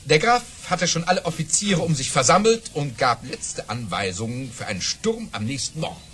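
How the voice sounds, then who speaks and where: Casting: self-important storytelling baron for audio play, highish voice, swooping theatrical delivery, light noise behind. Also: male voice, sing-song, moderate pace, a bit bright. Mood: dramatic, self-important. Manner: grandiose, dramatic, boastful. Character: boastful baron telling tall tales of his exploits.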